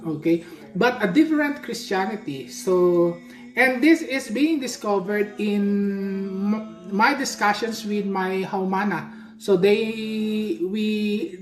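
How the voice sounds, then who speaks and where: A woman with a Filipino accent, whose first language is Tagalog, teaching hula in a livestream workshop, explaining slowly and often checking in with "okay?". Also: mid-range voice, darkish tone. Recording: echoey room with music behind.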